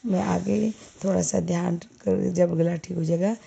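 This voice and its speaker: A hoarse woman sharing a devotional testimony, thanking and pleading with her guru between chants. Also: on the low side, fairly even intonation, measured pace, mellow, dull audio. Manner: tearful pleading, repeated thanks.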